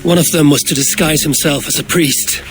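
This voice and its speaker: Man acting a terse, higher-voiced Norse warrior. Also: fairly even intonation, moderate pace, natural voice. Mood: grave, resolute. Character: gruff, wry, plain-spoken Norse warrior, adult male. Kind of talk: cinematic video game acting. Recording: music behind the voice.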